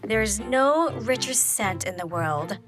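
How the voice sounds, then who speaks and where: An actress playing an eccentric, forward spinster on the hunt for a husband in a comic audio play, genteel and coy with menacing undertones. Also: female voice, medium pitch, lively intonation, slow, over music. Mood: insistent, genteel.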